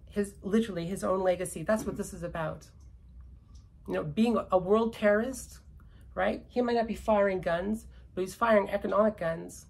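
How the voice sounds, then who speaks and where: Emphatic woman with a gravelly edge. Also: mid-range voice, rough recording. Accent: British-descended Canadian. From Canada. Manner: emphatic, repetitive, ranting. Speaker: commentator. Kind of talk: casual political chat.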